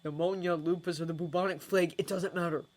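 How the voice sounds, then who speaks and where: A teenage boy wizard with a low, earnest voice, read by a woman. He talks urgently. A storybook character. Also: steady tone, quick pace, a little mellow, lightly acted.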